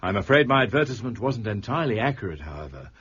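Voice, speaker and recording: An actor playing a coolly superior detective, measured, dry and authoritative, in a radio drama. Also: male voice, fairly low pitch, big pitch swings, a bit bright, breathy edge, dull audio, exaggerated voice. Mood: brisk, assured.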